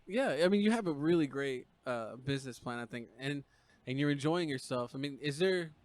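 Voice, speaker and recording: A man's voice: medium pitch, lively intonation, fairly quick, no echo. A man hosting a podcast, asking questions in an easygoing, rambling way with many fillers. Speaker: podcast host. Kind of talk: casual podcast interview.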